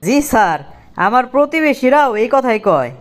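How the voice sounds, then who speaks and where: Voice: sits high, sing-song, moderate pace, a crowd in the background. A performer voicing a cheeky, literal-minded village job applicant in a comedy cartoon, with a Bangladeshi accent and eager, colloquial speech. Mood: confidently silly. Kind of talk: comic cartoon dialogue.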